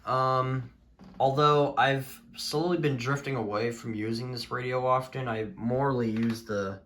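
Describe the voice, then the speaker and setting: A man talking casually in a halting, rambling way as he presents his collection in a vlog. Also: medium pitch, fairly even intonation, a bit bright, a little hiss or hum. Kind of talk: casual show-and-tell vlog.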